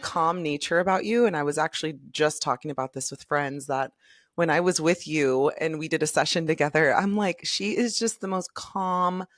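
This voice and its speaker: A woman's voice: sits low, normal speed, no echo, studio quality. A woman hosting a podcast, effusive and encouraging as she welcomes and praises her guest. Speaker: podcast host. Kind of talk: podcast interview.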